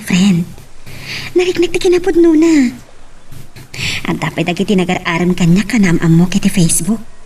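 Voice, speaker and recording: A woman's voice: medium pitch, darkish tone, a little hiss or hum, lightly acted. Woman acting a lovestruck young woman, slightly distant. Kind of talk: Ilocano audio drama acting.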